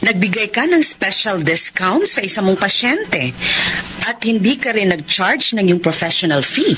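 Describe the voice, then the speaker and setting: A woman's voice: mid-range voice, big pitch swings, moderate pace, background noise, natural voice. A Filipino woman acting a stern, accusing sister in a radio drama.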